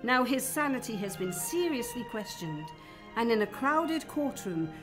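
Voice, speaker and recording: Lively woman announcer over music. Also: fairly high pitch, a little slow. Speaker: announcer and narrator. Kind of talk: scripted radio play narration.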